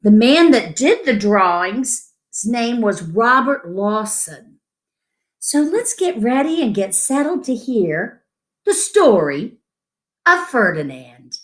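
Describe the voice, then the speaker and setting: Woman, children's story-time reader, unhurried pace, very animated intonation, gentle storytelling. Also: mid-range voice. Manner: gentle, deliberate storytelling with asides about the pictures. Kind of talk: expressive read-aloud of a picture book to children.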